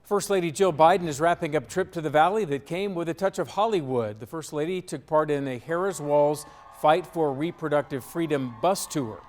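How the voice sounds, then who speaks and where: A man's voice: a little high, brisk, hardly any pauses, a little mellow. A man with an American accent reading scripted television news as an anchor.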